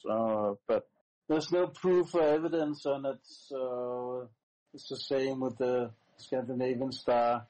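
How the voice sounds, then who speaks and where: A Danish man speaking English slowly in a dark, mellow voice. He rambles through a live-stream monologue, halting and full of fillers and restarts. His way of talking is blunt and crude. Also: mid-range voice, low-quality recording. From Denmark.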